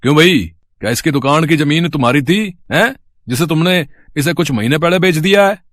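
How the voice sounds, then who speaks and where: Man putting on a gruff, bossy policeman. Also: a little high, fairly quick, fairly bright tone, no room sound, voice pushed down, lightly acted. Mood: bossy, scornful. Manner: bossy, sneering. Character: gruff, scornful village policeman. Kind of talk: acted storybook dialogue.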